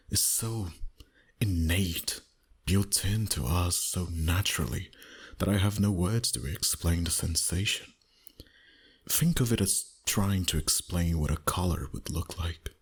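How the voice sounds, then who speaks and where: A very low, heavily breathy male vampire, acted out by a man for an ASMR roleplay. He speaks slowly and intimately to one listener, often sinking toward a whisper. The manner is dominant, flirtatious and teasing. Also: full character voice. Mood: calm, intimate. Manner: slow, deliberate, flirtatious.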